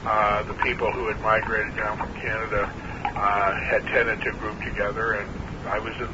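Sixties man, interviewee, halting and throaty; muffled, hissy phone recording. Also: lowish voice, monotone. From New Hampshire. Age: 60s. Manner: hesitant, many uh fillers, measured. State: clearing his throat, frog in throat. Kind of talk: oral history interview.

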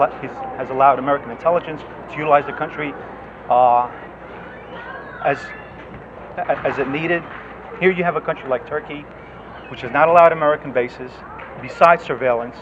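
A man born in Greece asking a politician an impassioned question, earnest and frustrated, often restarting his words. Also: mid-range voice. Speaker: audience member asking a question. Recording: lo-fi outdoor recording with street noise.

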